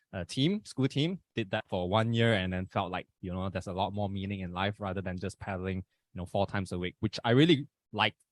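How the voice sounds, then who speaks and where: A young man in his twenties from Malaysia with a Malaysian English accent, chatting as a podcast guest in an upbeat, rambling way. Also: fairly low pitch. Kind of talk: casual podcast chat.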